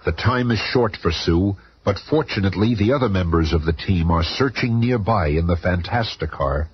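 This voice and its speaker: A man's voice: low-pitched, lively intonation, normal speed. A man narrating a comic-book radio drama in a grandiose, suspenseful style. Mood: urgent, grandiose. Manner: bombastic, suspenseful. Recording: dull, noisy old radio recording.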